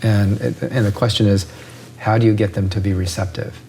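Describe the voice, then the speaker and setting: Expert guest, man, lowish voice, explains patiently with analogies, frequent "right?" checks. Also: fairly even intonation, brisk, vocal fry, darkish tone, a little hiss or hum. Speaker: ear doctor guest. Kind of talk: explanatory interview talk.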